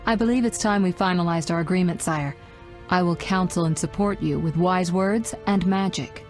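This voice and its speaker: An actress playing a shrewd, composed sorceress and royal adviser in a video game, measured and formal. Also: female voice, lowish voice, a little mellow, faint music behind, natural voice.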